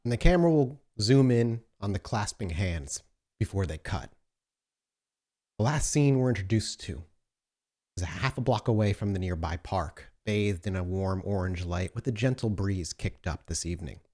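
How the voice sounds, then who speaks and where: A man's voice: sits low, animated, moderate pace, mellow. A man acting as game master, narrating scenes for a tabletop roleplay game in a descriptive way.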